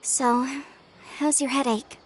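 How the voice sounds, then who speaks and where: A woman playing a caring, slightly bossy young sister in a video game, with a brisk, familiar manner. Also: sits high, fairly even intonation, a little hiss or hum, low-quality recording. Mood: caring, concerned.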